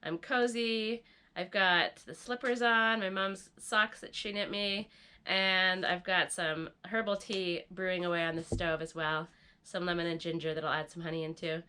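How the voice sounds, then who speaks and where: A woman talks to camera in a relaxed, chatty vlog manner, thinking aloud as she goes. Her voice is bright and forward, though audibly stuffed up and congested. She sounds easygoing and unhurried indoors in a rustic barn. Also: mid-range voice, clean tone. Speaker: vlogger renovating an off-grid barn. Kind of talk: casual vlog talk to camera.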